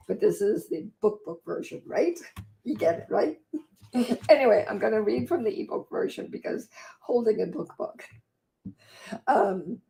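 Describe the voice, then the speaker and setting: Croaky Danish-born woman host. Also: a little high, warm tone, low-quality recording. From Denmark. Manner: chatty, sidetracked, fast-talking. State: hoarse from long talking. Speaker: vlog host and author. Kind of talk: casual livestream chat and reading aloud.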